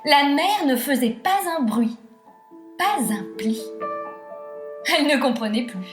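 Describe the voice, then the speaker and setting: Woman reading a kids tale really slowly, theatrical, echoey room, music behind. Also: high voice, animated. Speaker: storyteller. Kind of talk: scripted children's tale narration. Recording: roomy echo, music behind.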